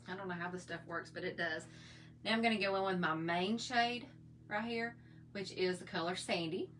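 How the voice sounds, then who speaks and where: A middle-aged woman with a slightly hoarse voice, chatting in a folksy, rambling way while she shows her makeup routine. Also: fairly low pitch, a bit bright, slight background noise. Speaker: makeup vlogger. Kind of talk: casual makeup tutorial chat.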